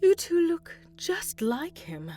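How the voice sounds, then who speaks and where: Slow, menacing alien tyrant queen played by an actress. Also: very high-pitched, big pitch swings, mellow, full character voice. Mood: wistful, sinister. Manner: slow, menacing, breathy whispered delivery. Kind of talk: audio drama acting.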